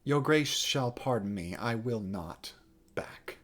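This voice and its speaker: A man's voice: mid-range voice, animated, takes it slowly. Man acting a proud, indignant young prince. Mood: proud, resolute. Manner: declamatory, imperious, indignant. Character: proud, furious young French prince, defiant and high-born. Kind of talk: classical Shakespearean stage monologue.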